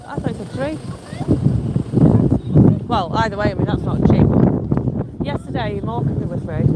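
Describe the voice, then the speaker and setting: A high-pitched man from Lancashire rambles in a friendly, meandering way while he strolls outdoors and answers his live chat. Heavy wind buffets a handheld phone mic, roaring over much of what he says. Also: animated, normal speed. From Lancashire, England. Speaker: live-streaming vlogger. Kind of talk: casual live-stream chat with viewers. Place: outdoors in a windy seaside town. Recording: loud wind noise on the mic.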